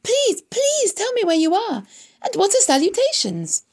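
Storybook young pig, played by a woman: high, animated, earnest, polite, naive and excitable. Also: unhurried, creaky, heavily acted. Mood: frantic. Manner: earnest, excitable, loud exclamations.

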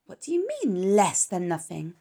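A woman voicing a young, lonely male pig who talks in a high, pleading, whiny way. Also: wildly swooping pitch, moderate pace, creaky, warm tone, full character voice. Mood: earnest.

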